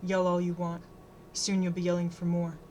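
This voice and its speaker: A woman's voice: fairly low pitch, monotone, moderate pace, darkish tone, slight background noise, close to an everyday voice. A woman voicing a menacing, entitled older teenage boy in an audiobook, insistent and self-assured. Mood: cold, insistent. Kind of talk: audiobook character dialogue.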